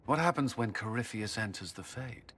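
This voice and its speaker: A stern, pragmatic army commander. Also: male voice, medium pitch, expressive, moderate pace, a bit bright, slightly rough. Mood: concerned, stern. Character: serious, pragmatic male military commander. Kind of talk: dramatic fantasy game dialogue.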